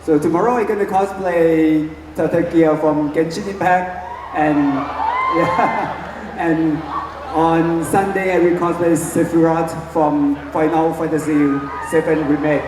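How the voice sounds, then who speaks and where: A man speaking halting, hesitant English as a guest at a fan event. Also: fairly high pitch, sing-song. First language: Thai. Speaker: guest cosplayer. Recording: echoey hall from far off, noisy.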